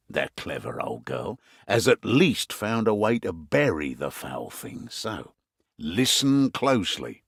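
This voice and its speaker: A man playing a gruff, wry mercenary quartermaster in a video game, briefing his fighters with earthy, commanding storytelling and dark humour. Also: fairly low pitch, no echo, studio quality, full character voice. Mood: grim, determined.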